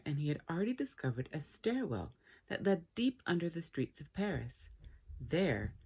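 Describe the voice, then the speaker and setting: A woman reading a story aloud for children in an expressive, playful way with dramatic pauses. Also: low-pitched, breathy edge.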